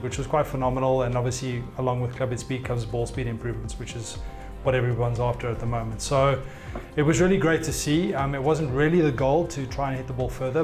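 A man's voice: medium pitch, a little mellow. A man in his thirties, a fitness coach, explaining his work in an interview to camera. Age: 30s. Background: background music.

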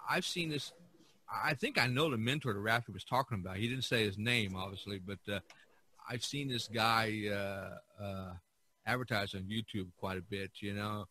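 Bright-voiced man hosting a podcast. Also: fairly low pitch. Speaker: podcast host. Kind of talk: casual podcast discussion.

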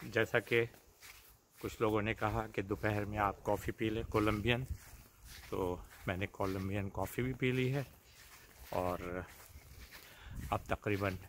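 Older man chatting slowly outdoors. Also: fairly low pitch, steady tone, fairly bright tone, slight background noise. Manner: leisurely, pausing, addressing viewers directly. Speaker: vlogger. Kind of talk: casual chat to camera. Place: outdoors in a suburban backyard. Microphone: phone at arm's length.

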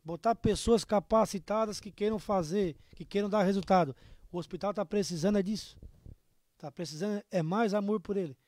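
Guy in his 30s, southern brazilian accent, high voice, earnest campaign talk, dry studio sound. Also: a little fast, a little mellow, no echo. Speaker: political candidate being interviewed. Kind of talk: interview answers, campaign appeal. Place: small indoor studio. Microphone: microphone on a stand.

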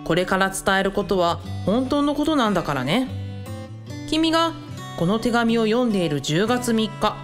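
Radio drama monologue: earnest, gentle young male student, voiced by a woman, measured letter reading over guitar. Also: medium pitch, sing-song, lightly acted. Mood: earnest, tender. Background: guitar music.

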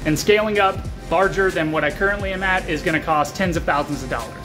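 American man, thirties, fairly high voice, candid explanatory vlog, indoors near the camera, clear background music. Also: fast talker. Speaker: small brewery owner and vlogger. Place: small taproom bar with metal walls, indoors.

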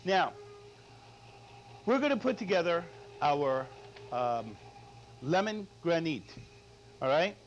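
A man's voice: a little high, sing-song, slow pace, pauses often. A middle-aged male chef giving an unscripted cooking demonstration, often checking in with short tag questions. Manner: frequent tag questions "all right?". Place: studio kitchen. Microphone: lapel mic. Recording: dull lo-fi audio, background noise.

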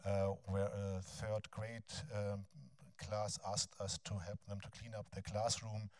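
A man in his fifties with a German accent gives a lecture in a large bright hall on a handheld mic, hesitating often with ums and restarts. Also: fairly low pitch, flat delivery, clean studio sound. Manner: halting, frequent ums and restarts. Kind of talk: academic lecture, then reading a text aloud.

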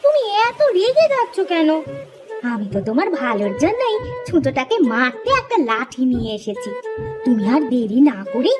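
Silly innocent cartoon wife, super high swoopy voice, earnest and puzzled. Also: wildly swooping pitch, moderate pace, over music. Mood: naive coaxing. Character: foolish young village wife, naive, earnest, literal-minded. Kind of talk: Bengali comic cartoon dialogue.

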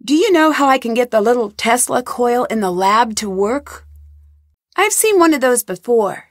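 A woman's voice: fairly high pitch, lively intonation, normal speed, no room sound, clean studio sound, close to an everyday voice. A woman voicing a young woman detective in game voice lines, asking earnest, inquisitive questions in a polite tone. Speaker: voice actor. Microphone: studio mic, dry.

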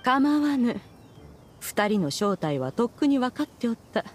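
Haughty, high-voiced immortal lady. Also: sing-song, a little slow, a little hiss or hum. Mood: knowing, stern. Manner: imperious, archaic regal phrasing. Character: proud, ancient immortal adeptus woman, haughty but fond. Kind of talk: dramatic game voice acting.

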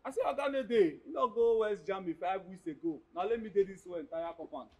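An actor with a Nigerian accent playing a brash, mocking, scheming gatekeeper in a comic drama, loud and blustering. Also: male voice, high voice, fast talker, soft background music, close to an everyday voice. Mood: suspicious, smug. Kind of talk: comic screen drama acting in Nigerian Pidgin.

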